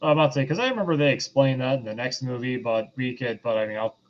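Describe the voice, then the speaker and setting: A man with a medium-pitched voice on slightly dull, lo-fi audio. He chats casually as a podcast panelist, explaining his take in a conversational way. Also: fast. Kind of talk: casual explanatory film discussion.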